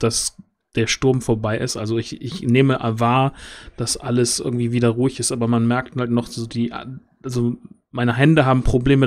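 A man with a mid-pitched voice takes part in a tabletop roleplay. He speaks haltingly and with agitation, circling back over his own words as he improvises. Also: darkish tone. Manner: agitated, halting, repeats himself. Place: indoor home, video call. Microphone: headset.

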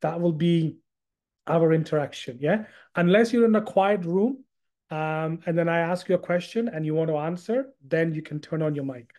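A man's voice: on the high side, moderate pace, a little mellow. Chatty man teaching a live online class. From Hong Kong. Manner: chatty, repeats words, asks the audience questions. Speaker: Islamic studies teacher.

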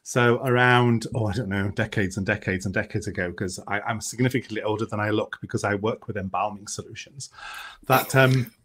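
A man's voice: on the low side, steady tone, fairly quick, a bit bright, husky. Welsh man, middle-aged, Welsh-speaking, enthusiastic, joking, video call from home. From Wales. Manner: enthusiastic, self-deprecating humour. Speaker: author and druid, panelist. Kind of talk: casual panel talk. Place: home room.

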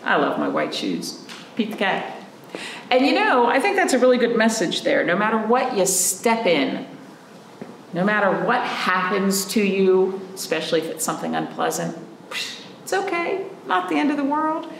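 A woman's voice: medium pitch, very animated, normal speed, vocal fry. A woman in her forties hosting storytime like a children's librarian, talking and reading to young children with simple, encouraging questions, recorded indoors a little way from the camera. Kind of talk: children's storytime talk and picture-book read-aloud. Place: small indoor room, camera a few feet away. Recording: roomy echo, faint background noise.

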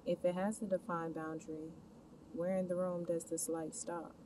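Low-pitched woman guiding a meditation, distant noisy recording. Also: little pitch movement, moderate pace. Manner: instructional, addressing the listener with gentle imperatives and questions. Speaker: meditation guide. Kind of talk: guided meditation, scripted narration. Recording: distant mic, clear background noise, ambient rumble.

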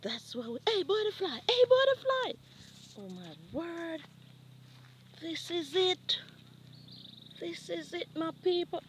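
A Jamaican woman vlogging on a farm, chatting in a lively, exclaiming way while filming on a handheld phone outdoors. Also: very high-pitched, slow, pauses often, a little hiss or hum. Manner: lively, exclaiming, repeats catchphrases. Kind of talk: casual farm tour chat. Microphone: handheld phone camera.

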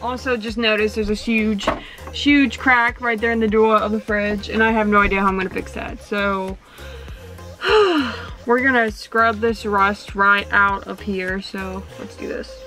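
A woman's voice: mid-range voice, steady tone, a little slow, over music. A woman in her twenties chatting casually to camera as she fixes up a camper van, talking while she works. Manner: chatty, talks straight to camera while working. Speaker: vlogger. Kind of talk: casual how-to vlog.

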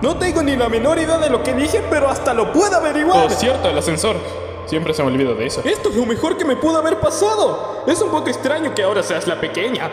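A man voicing an excitable, boastful, dim little robot core in a Spanish fan dub of a video game, chatty and power-drunk. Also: very high-pitched, quick pace. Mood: gleeful. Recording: echoey reverberant hall, music behind.

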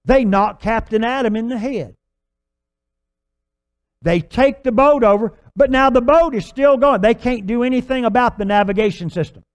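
An older man preaching a sermon, telling a long illustration story on a church stage with lively gestures. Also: extremely high pitch, normal speed. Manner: animated, gesturing storyteller with filler restarts. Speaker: pastor. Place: large church stage with purple lights.

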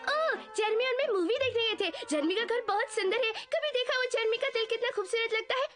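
Fast, very high teenage girl. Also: over loud music. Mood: exasperated. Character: exasperated, sassy teenage girl best friend. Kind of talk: animated cartoon dub.

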